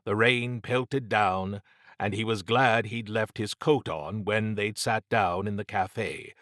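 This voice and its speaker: A male narrator reads dramatic fiction for an audiobook. His voice sits fairly low and his pace is unhurried. The sound is close and dry, as on a studio microphone. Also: fairly bright tone, dry recording.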